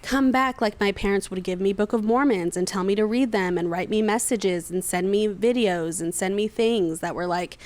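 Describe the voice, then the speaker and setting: A woman's voice: medium pitch, normal speed, hardly any pauses, darkish tone. Chatty woman in her mid-thirties. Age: 30s. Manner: chatty storytelling. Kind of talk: casual chat.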